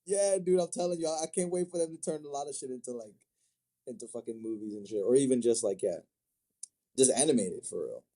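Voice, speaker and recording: Very mellow-voiced man, casual and slangy. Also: mid-range voice, quick pace. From Africa. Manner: slangy, repeats short phrases, agreeable. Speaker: interview guest, manga artist and sculptor. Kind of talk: casual interview chat.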